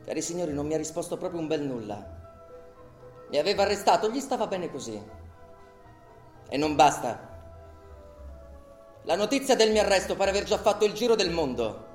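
A man's voice: on the high side, big pitch swings, moderate pace, pauses often. An actor playing an indignant accused man in a radio drama, declaiming rhetorically and sarcastically to a tribunal. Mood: sarcastic indignation. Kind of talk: theatrical radio drama monologue. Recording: roomy echo, music behind.

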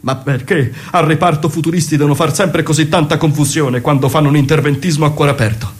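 A man's voice: on the high side, steady tone, fast talker, close to an everyday voice. A man acting a pompous, melodramatic doctor in a mock-serious radio theatre parody. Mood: mock-dramatic. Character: pompous male doctor colleague, melodramatic poet-surgeon.

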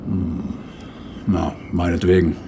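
An actor playing a sceptical, officious train conductor in a German radio play, speaking briskly. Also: male voice, flat delivery, dark tone. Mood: officious doubt. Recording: clear train rumble.

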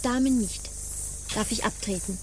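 A woman's voice: medium pitch, normal speed, darkish tone, lightly acted. Actress as a cool, defiant crew woman, hissy recording. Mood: formal, guarded. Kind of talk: stage-like radio drama acting.

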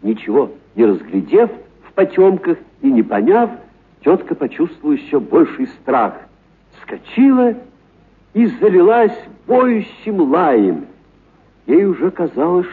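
A man narrating a literary story for radio in a dramatic, expressive storytelling manner. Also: on the high side, slightly rough. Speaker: narrator, actor reading a story. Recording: narrow, muffled radio-broadcast sound.

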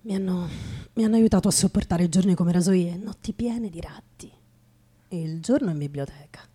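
Low, mellow world-weary drinking writer. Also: female voice, slightly rough. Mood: wry, weary. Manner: halting dramatic delivery with repeated phrases and pauses. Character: world-weary drinking writer musing, male, confessional and intense. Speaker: stage actress performing a literary monologue. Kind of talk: theatrical radio reading of poetry and memoir.

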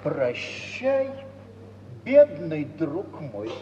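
An actor playing a conniving, pompously righteous gentleman in declamatory 1950s Russian radio theatre style. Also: a little high, sing-song, drawn-out words, a little hiss or hum, exaggerated voice. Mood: mock-sympathetic. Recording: roomy, dull old radio recording, murmuring company.

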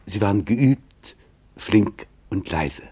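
A man narrating a documentary radio drama in a sober, deliberate, measured manner. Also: fairly low pitch, animated, dark tone, slightly rough.

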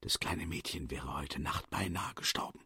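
Stern radio drama doctor: extremely deep, very hoarse sharp whisper, slow, annoyed, close and dry. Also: male voice, no echo, full character voice. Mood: annoyed, grave. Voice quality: whispery, raspy. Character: stern, annoyed country doctor hushing a visitor.

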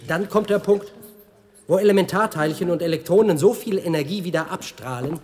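A man's voice: sits high, brisk, darkish tone, a little hiss or hum, lightly acted. An actor playing a mocking, brooding male press officer of a research centre in a German science-fiction radio drama. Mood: defiant, lecturing.

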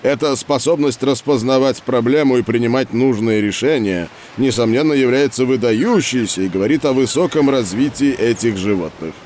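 A man playing a patient scientist who explains experiments to children in a measured, didactic way in an educational audio drama. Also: medium pitch, a little mellow, a little hiss or hum, lightly acted. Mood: impressed, thoughtful.

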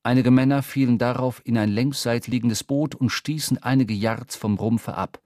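Male radio drama narrator, mid pitch, dramatic literary storytelling, very dry studio sound. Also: fairly even intonation, moderate pace, warm tone, dry recording.

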